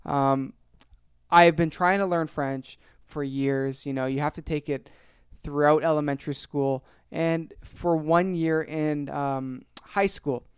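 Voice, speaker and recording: A young Canadian man hosting his own podcast, rambling casually, repeating his phrases and filling pauses. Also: a little high, fairly even intonation, smooth, no room sound, studio quality. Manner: chatty, rambling, repeats phrases, many fillers. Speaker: podcast host.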